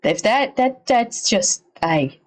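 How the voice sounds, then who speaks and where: A woman's voice: a little high, expressive, slow. A woman livestream host giving casual, slangy reaction commentary, repeating phrases and swearing a little.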